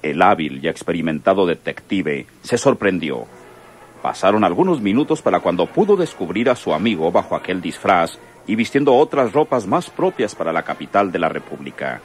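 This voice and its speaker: A man's voice: sits low, sing-song, moderate pace, slight background noise. A man narrating a radio serial in a theatrical, emphatic scripted style.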